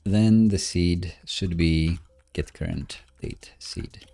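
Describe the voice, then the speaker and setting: A man casually commentating as he codes live, musing and questioning himself in a halting way. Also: low voice, gravelly, dark tone. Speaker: live-streaming programmer host. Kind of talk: casual live coding commentary, thinking aloud.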